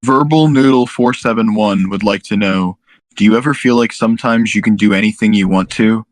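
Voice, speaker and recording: A man's voice: on the low side, fairly quick, no room sound. A man chatting casually as a co-host, with slangy bro-talk. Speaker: co-host reading questions. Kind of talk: casual chat.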